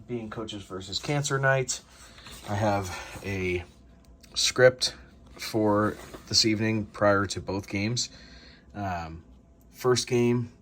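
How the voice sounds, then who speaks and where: Low-pitched man vlogging casually. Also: fairly bright tone, a little hiss or hum. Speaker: vlogger and sports announcer. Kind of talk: casual vlog explanation.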